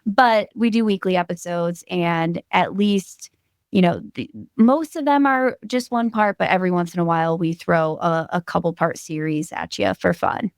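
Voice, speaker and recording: A woman's voice: fairly low pitch, normal speed, smooth, no echo, studio quality. A woman in her late twenties, a guest on a podcast, chatting casually and eagerly. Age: late 20s. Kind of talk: casual chat.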